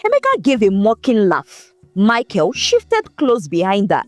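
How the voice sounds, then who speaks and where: A woman narrating a folktale in a scripted, expressive, dramatic storytelling manner. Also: medium pitch, a little slow, soft background music.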